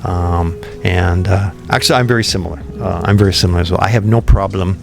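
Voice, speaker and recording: A man guesting on a podcast, a company founder who explains his ideas in a thoughtful, relaxed way, with frequent ums and restarts. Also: sits low, fast, vocal fry, music in the background. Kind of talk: relaxed interview conversation.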